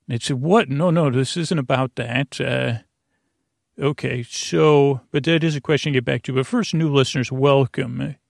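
A man with a creaky, lulling voice hosts a sleep podcast, rambling haltingly and drifting into tangents and self-interruptions. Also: medium pitch, no room sound, studio quality. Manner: lulling, soothing. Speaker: podcast host. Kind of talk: rambling, meandering bedtime monologue to help listeners sleep.

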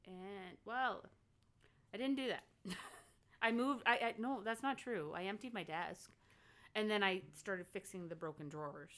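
A woman's voice: medium pitch, measured pace, a bit bright. A woman hosting a casual podcast from her home office, chatting loosely, giggling and drifting into side stories. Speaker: podcast host. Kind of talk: casual chat.

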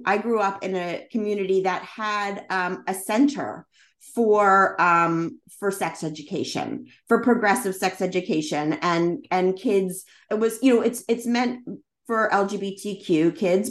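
A woman's voice: medium pitch, a little slow. A woman from the United States hosting a podcast interview, speaking in an enthusiastic, chatty way. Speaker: podcast host and parent.

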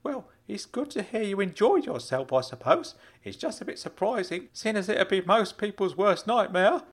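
A man voicing a kindly, fussy older uncle in an audiobook story, earnest and excitable. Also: medium pitch, moderate pace, pitched above the performer's own voice. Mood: worried. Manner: excitable, earnest, swooping delivery. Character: kindly older uncle, fussy, caring, fond of dad jokes.

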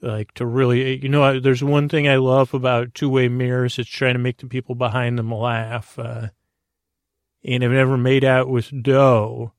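A man with a creaky voice hosting a bedtime podcast, delivering a halting, meandering, goofy comic monologue. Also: mid-range voice, little pitch movement, no room sound, studio quality. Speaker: podcast host.

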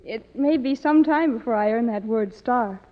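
A woman actress guesting on vintage radio reads scripted promotional banter. Her voice is fairly high, with hall reverb on a dull old recording. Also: normal speed.

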